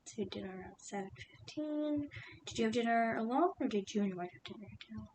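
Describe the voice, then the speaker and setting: A woman voicing a calm, patient female police detective, whispering softly and asking careful, soothing questions in an ASMR roleplay. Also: fast, lightly acted. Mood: questioning. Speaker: interrogator. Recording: steady low rumbling noise.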